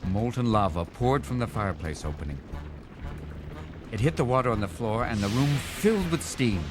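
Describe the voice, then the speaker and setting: A man narrating a children's storybook aloud in an expressive read-aloud style. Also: fairly low pitch, normal speed, over music.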